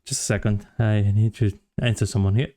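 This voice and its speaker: A man's voice: fairly low pitch, fairly even intonation, normal speed, mellow. A man in his thirties from Brazil, with a Brazilian Portuguese accent, casually telling his story to camera with many fillers and restarts. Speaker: vlogger, software developer.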